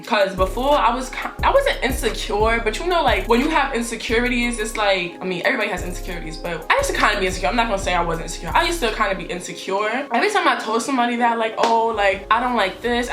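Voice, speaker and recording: A young woman with a New York accent, chatting casually to camera in a blunt, slangy, animated way, with a deep-sounding voice. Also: roomy sound. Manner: animated, talks with her hands, blunt slangy delivery. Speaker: YouTuber.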